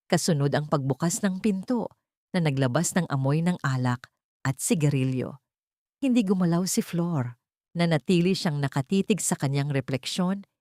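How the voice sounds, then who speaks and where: Female narrator for dramatic audio fiction: slightly low pitch, solemn suspenseful delivery, dry close sound. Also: darkish tone, no echo. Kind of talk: dramatic scripted story narration.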